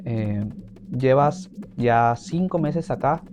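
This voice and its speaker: A man with a Venezuelan accent speaking slowly and reflectively as a migrant in a documentary. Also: mid-range voice, background noise. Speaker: migrant interviewee. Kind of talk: documentary testimony.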